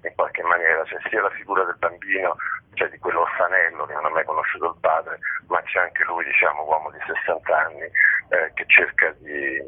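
A man explaining things in a radio interview, reflective and halting, with digressions. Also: low voice, level tone, slightly rough. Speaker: interview guest, theatre collaborator. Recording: muffled phone line on radio.

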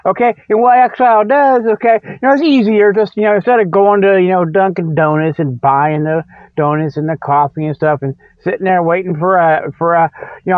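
A man's voice: high-pitched, no echo. A man hosting a rambling comedic radio show, folksy and repetitive, with a habit of chuckling at his own jokes. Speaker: show host. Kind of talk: rambling comedic radio monologue with mock sponsor reads. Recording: tinny phone-like radio audio.

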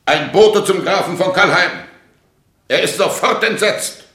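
An actor in a German radio play as a proud, decisive prince-elector, imperious and formal. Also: male voice, sits high, lively intonation, unhurried, sharp tone, exaggerated voice. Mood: commanding. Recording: echoey room.

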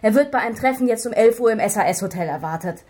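A woman's voice: a little high, lively intonation, fast talker, a little mellow, lightly acted. An actress playing a level-headed, pointed female police investigator in a radio crime drama. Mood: frustrated. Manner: reasoning, pointed.

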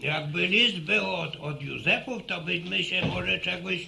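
An old village grandfather in a radio serial, good-natured and chatty. His voice is very gravelly and sharply bright, and he stumbles and repeats words in a folksy way, over faint noise. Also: high-pitched, unhurried, exaggerated voice. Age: older. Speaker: radio drama actor. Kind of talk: Polish radio serial acting.

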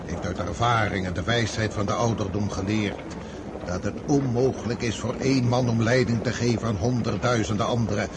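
An actor in a radio drama playing a patient, fatalistic old field marshal who talks in an unhurried, paternal way. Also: male voice, lowish voice, fairly even intonation, natural voice. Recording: very noisy outdoor battle radio drama.

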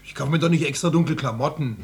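A man's voice: a little high, fast talker, a little mellow, husky. A man playing a smug, spoiled grown son in a German radio drama, sarcastic and mock-solemn, with a mocking drawl. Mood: stubborn, smug.

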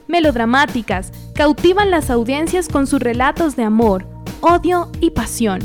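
A woman reading a scripted introduction as the presenter of a radio programme. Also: high-pitched.

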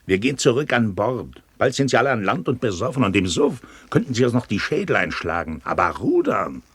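Husky, low, wary seaman. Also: sing-song, fairly quick, dry recording. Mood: stubborn, cautious. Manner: dry, folksy, says "jo" and "nee". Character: wary, stubborn, plain-spoken seaman named Peter. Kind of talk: German radio play acting.

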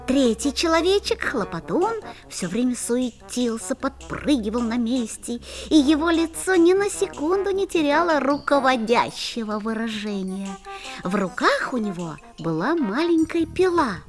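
High-voiced, animated boy storyteller. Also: measured pace, soft background music. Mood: amused. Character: excited young boy narrating his own adventure. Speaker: narrator. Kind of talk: storybook narration in an audio play.

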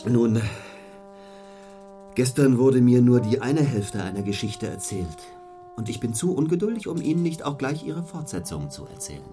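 An actor playing a cold, stern, fiercely ambitious clergyman in a radio play, speaking in a formal, deliberate, rhetorical way. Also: mid-range voice, animated, mellow, soft background music, close to an everyday voice. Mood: brusque, impatient. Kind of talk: German radio play acting.

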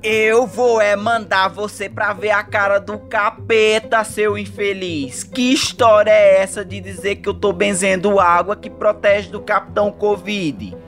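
A woman's voice: medium pitch, fairly even intonation, measured pace, hardly any pauses, soft background music, natural voice. A woman playing an angry, self-righteous priest in a radio drama, scolding in exclamations.

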